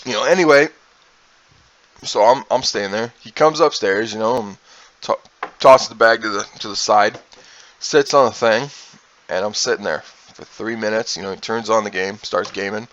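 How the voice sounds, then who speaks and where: A man's voice: fairly low pitch, moderate pace, fairly bright tone, lo-fi sound. A man, a streamer, telling a personal story casually in a rambling way, acting out bits of dialogue as he goes. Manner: rambling, acts out quoted dialogue, mild profanity.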